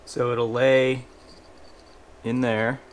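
A man's voice: mid-range voice, flat delivery, drawn-out words, a little hiss or hum. A man explaining a hands-on build casually and informally, thinking aloud as he goes in a garage workshop. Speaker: host of a motorcycle build channel. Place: small garage workshop, indoors.

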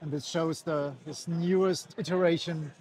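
Shop staff, man, slightly high voice, calm explainer, expo hall. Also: normal speed. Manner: calm, informative, a few fillers. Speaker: bike shop staff, guest. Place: busy indoor bike expo hall.

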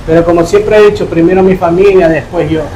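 A young man playing a poor young worker who is soft-spoken and tearful, in a staged charity video. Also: high voice, steady tone, a little hiss or hum, natural voice. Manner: quiet, halting, emotional. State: crying. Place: indoor room. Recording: echoey room with music behind.

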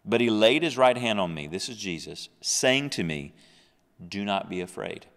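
A man's voice: lowish voice, expressive, moderate pace, a bit bright, big-room sound. A man in his thirties teaching the Bible on a podcast, earnest, reading verses with swelling emphasis, at a close desk microphone in a small studio. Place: small studio with a dark backdrop. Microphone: large desk microphone close up.

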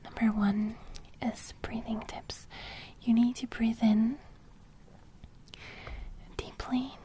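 A young woman playing a gentle sleep fairy in a whispered ASMR roleplay, murmuring breathy, repetitive reassurances close to the microphone. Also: a little high, little pitch movement, slow pace, a little hiss or hum. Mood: reassuring. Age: young adult. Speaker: ASMR creator. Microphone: close studio microphone.

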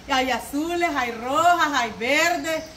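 A woman's voice: sits high, extremely slow, fairly bright tone. A middle-aged woman with a Salvadoran Spanish accent, chatting and teasing as she cooks in an open-air kitchen, picked up by a camera a few meters off. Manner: chatty, teasing, cooking while she talks. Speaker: vlogger. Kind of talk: casual chat. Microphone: camera mic from a few meters away. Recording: outdoor camera audio with clear background noise.

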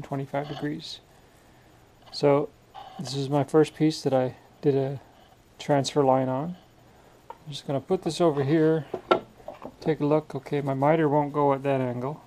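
A man talks through his woodworking in a home workshop, thinking aloud in a relaxed, unhurried way. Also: a little high, slight background noise. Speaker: woodworking hobbyist. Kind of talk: casual workshop narration.

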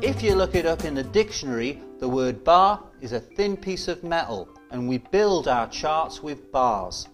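A middle-aged man presenting scripted instructional narration in a measured, explanatory way, posing rhetorical questions. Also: a little high, sing-song. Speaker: presenter of an educational film. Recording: music bed behind studio voice. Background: instrumental music.

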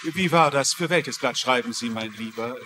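An actor playing an arrogant literary author, pompous and dismissive, in a German-dubbed mystery drama. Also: male voice, mid-range voice, moderate pace, lightly acted. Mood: condescending. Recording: clear background ambience noise.

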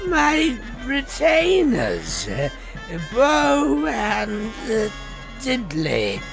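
A man playing a goofy old dwarf cleric who puts on pompous airs while he bluffs. His voice is gravelly and high-pitched. He speaks slowly and swoops all over the place. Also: wildly swooping pitch, over music, full character voice.

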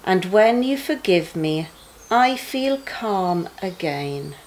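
Lively, unhurried woman reading to children. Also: fairly low pitch, a little hiss or hum, low-quality recording. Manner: animated storytelling with emphatic phrasing for young children. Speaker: bedtime story reader for a junior church. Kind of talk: expressive read-aloud of children's Bible stories.

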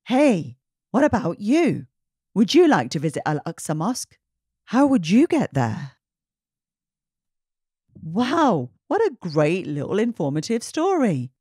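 A woman reading a children's story aloud in an expressive, emphatic narrating style. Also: mid-range voice, takes it slowly, pauses often, no echo, studio quality. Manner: animated, emphatic, clearly paced delivery. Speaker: children's story reader and host.

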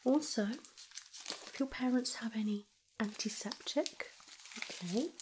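A woman, speaking in a soft, often whispered voice, soothes and reassures as she tends a graze in an ASMR first-aid roleplay. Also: fairly high pitch, takes it slowly, background noise. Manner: soothing, reassuring, gently shushing. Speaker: carer tending a child's graze.

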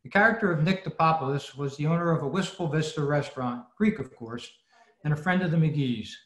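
A man's voice: fairly high pitch, brisk, a bit bright. A man reading a prepared talk as a presenter on a video call, in scripted narration. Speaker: old-time radio club presenter. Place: indoor room, video meeting. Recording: echoey room on video call.

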